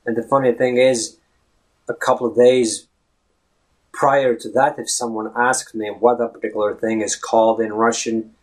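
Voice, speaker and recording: A Latvian-accented man in his thirties talks straight to a webcam in a small room, with a middle-pitched voice. He is chatty and easygoing, often restarting words and stretching some out for emphasis. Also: flat delivery, moderate pace, poor audio. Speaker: English fluency blogger. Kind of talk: casual video blog advice. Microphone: webcam at head-and-shoulders framing.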